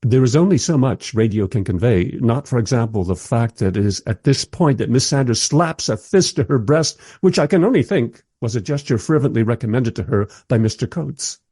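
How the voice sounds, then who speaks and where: An actor in a radio play voicing a polite, dryly sceptical radio interviewer with a measured, understated manner. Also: male voice, medium pitch, expressive, warm tone, husky, dry recording, clean studio sound. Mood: dry, bemused.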